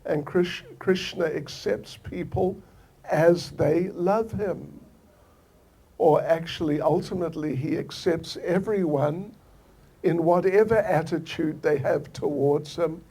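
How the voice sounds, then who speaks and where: An older man from New Zealand gives a devotional lecture at a very unhurried pace. His voice is mellow and dark, with a husky, gravelly edge, and he tells the story gently, coming back to the same phrases again and again. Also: fairly high pitch. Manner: slow, gentle storytelling with soft laughs and repeated phrases. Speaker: swami giving a scripture lecture.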